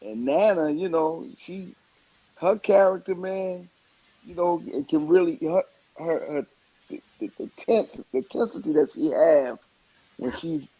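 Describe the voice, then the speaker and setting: A man chatting casually in an interview, rambling in an animated way and repeating words for emphasis. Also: a little high, measured pace, no room sound, rough recording. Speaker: stand-up comedian and stage play actor.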